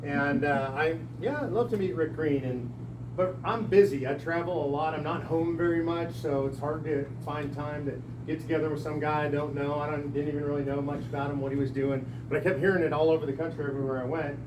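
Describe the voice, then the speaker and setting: A man giving a rousing, folksy talk to a packed town hall, projecting his voice with emphatic, loud delivery. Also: on the high side, steady tone, brisk, background noise. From lives in Texas. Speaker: political speaker at a town hall. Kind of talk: rousing public speech. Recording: echoey hall recorded from a distance.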